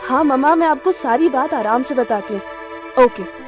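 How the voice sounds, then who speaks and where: A woman's voice: high voice, animated, normal speed. A woman with an Indian accent playing an anxious young female witness who hesitates and repeats words in a radio drama. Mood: nervous. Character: frightened young female witness, a call centre worker. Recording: music under the voice.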